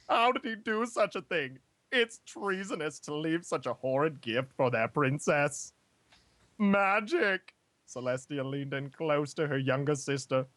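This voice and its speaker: A playful storybook narrator, performed by a woman, voices royal sisters along the way. The reading is slow and fairly low, with animated intonation. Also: dry recording.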